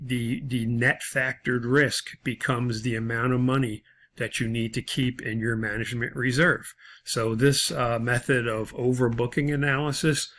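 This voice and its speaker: Gravelly-voiced man teaching a lesson. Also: medium pitch, a bit bright. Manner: explanatory, loosely improvised with asides. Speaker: college instructor. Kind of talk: informal video lecture walking through worked problems.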